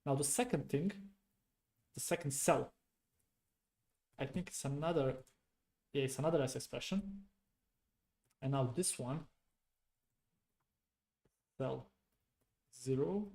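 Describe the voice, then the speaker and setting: Unhurried man talking himself through code, soft mellow voice, halting and mumbled. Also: medium pitch, gravelly. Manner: slow, halting, muttering to himself. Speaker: programming streamer. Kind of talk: thinking aloud while debugging code.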